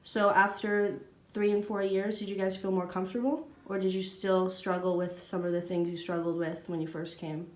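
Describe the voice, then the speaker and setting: A woman's voice: on the low side, level tone, normal speed, a little mellow. Woman interviewer in a roomy space. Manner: direct, plainly worded questions. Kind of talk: interview questions. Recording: roomy echo.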